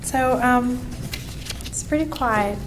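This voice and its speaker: A hoarse woman giving a registrar's report at a town meeting, chatty and full of fillers and restarts. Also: on the high side, takes it slowly, noisy background. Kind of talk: spoken report at a public meeting.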